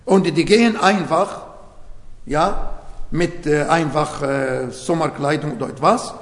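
A man's voice: fairly high pitch, slow. A man whose first language is Arabic, preaching a sermon in German with grammar slips and many rhetorical questions, recorded in a church hall. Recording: echoey hall with long reverb.

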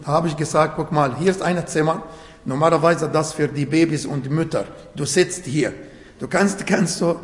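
A man whose first language is Arabic, preaching a sermon in German in an earnest, emphatic way with grammatical slips. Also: a little high. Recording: echoey hall with long reverb.

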